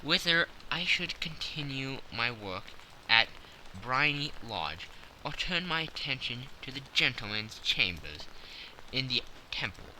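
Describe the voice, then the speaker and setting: A man's voice: medium pitch, sing-song, a little slow, sharp tone, slight background noise, rough recording. A man narrating a classic story as a scripted, dramatic audiobook.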